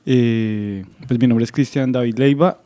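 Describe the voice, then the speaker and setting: A young man from Bogotá with a Colombian accent, explaining as an interview guest in a halting way, searching for words. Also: mid-range voice, warm tone, dry recording. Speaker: interview guest, student activist. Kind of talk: explanatory radio interview answer.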